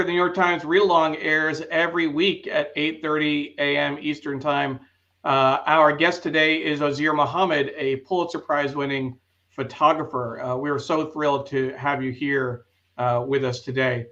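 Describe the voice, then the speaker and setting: A man hosting a casual live interview show, speaking in a conversational, halting way. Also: on the high side, flat delivery, sharp tone, highs cut off.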